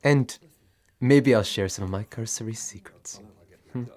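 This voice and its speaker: An actor playing a formal office supervisor who flirts coyly with an employee in an audio drama, choosing measured words. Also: male voice, lowish voice, darkish tone, close to an everyday voice.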